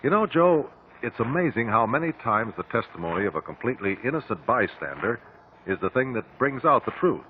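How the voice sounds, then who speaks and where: An actor playing a calm, precise, courteous legal expert with an American accent in a 1950s radio drama. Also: male voice, medium pitch, lightly acted. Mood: explanatory. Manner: precise, measured, explanatory. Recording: tinny old radio recording, faint noise.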